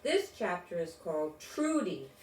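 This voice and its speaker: An older woman reading a children's storybook aloud in a deliberate, expressive way, with informal asides. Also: on the low side. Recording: roomy, distant mic, faint noise.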